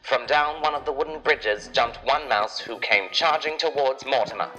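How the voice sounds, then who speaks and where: A man's voice: mid-range voice, moderate pace, sharp tone, faint music behind, poor audio. A man narrating a children's adventure story in a dramatic, scripted storytelling style.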